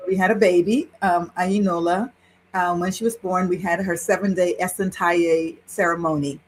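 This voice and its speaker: A woman in her sixties with a somewhat low voice tells her life story as an interview guest. She talks in a lively, warm-hearted way and often breaks into laughter. A faint hiss of noise sits behind her. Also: moderate pace, rough recording. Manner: animated, laughs while telling a story. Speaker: guest, Yoruba priestess. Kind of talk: personal storytelling in conversation.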